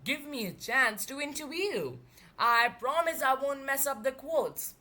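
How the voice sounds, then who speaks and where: A woman's voice: sits high, animated, unhurried, bright, forceful tone, full character voice. A woman voicing a determined, nosy young female reporter in a children's storybook. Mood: inquisitive. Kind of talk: children's storybook read-aloud.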